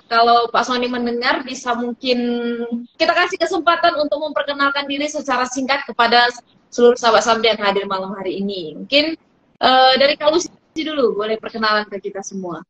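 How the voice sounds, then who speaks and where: A woman's voice: a little high, a little fast, a bit bright, dull audio. A woman co-hosting an online Bible study live stream in a chatty, casual way, often laughing and restarting sentences.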